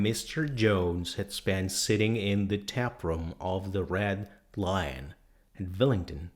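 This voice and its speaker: A man reading a novel aloud as scripted narration, in a measured way with the occasional stumble over words. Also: deep voice, a little mellow. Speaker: audiobook reader.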